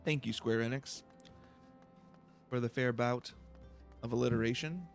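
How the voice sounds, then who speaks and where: Male let's play host: calm, casual, middle-pitched and creaky. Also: unhurried, faint music behind. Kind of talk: calm, casual gameplay commentary.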